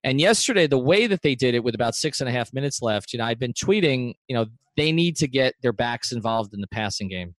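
A man's voice: medium pitch, expressive, quick pace, few pauses, no echo. A man hosting a sports podcast, giving conversational analysis in an emphatic way and repeating phrases for stress.

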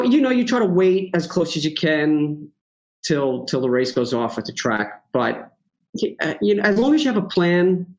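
Man, podcast guest, casual, rambling, fillers, slightly high voice. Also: poor audio. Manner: rambling, lots of fillers and restarts, self-joking. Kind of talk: casual chat.

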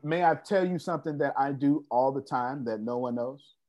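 A man's voice: mid-range voice, normal speed, darkish tone. A man coaching a group on a video call, boastful and preachy, calling on people by name. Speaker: business coach hosting an online meeting. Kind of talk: animated motivational group call.

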